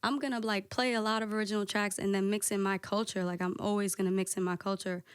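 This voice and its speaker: A woman DJ guesting on a podcast, talking casually and candidly with a soft voice, peppering her talk with "like" and swearing. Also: mid-range voice, level tone, fast, smooth, no echo, studio quality. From United States. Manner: candid, lots of "like" and profanity.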